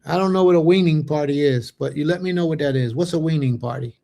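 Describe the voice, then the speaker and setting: A man in his late thirties with a gravelly, mellow voice, hosting a faith livestream. He chats casually with his viewers, reading their comments aloud and answering each one. He sprinkles Hebrew blessings through his talk. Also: on the high side, quick pace. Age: late 30s. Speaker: host of a religious fellowship livestream.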